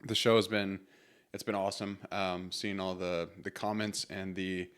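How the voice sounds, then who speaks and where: A man's voice: deep voice, flat delivery, moderate pace, a bit bright, smooth. A man hosting his solo motivational podcast in a casual, rambling way, his voice congested from a cold. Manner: casual, rambling with fillers and restarts. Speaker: podcast host.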